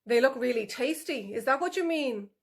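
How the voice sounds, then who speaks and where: A woman's voice: sits high, animated, normal speed, gravelly. Lady reading as a grumpy mama wild boar from a kids storybook, snappy, suspicious, short with everyone. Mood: snappish, hostile. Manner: curt, snappish. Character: surly, suspicious wild boar sow, a mother. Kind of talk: children's animal storybook reading.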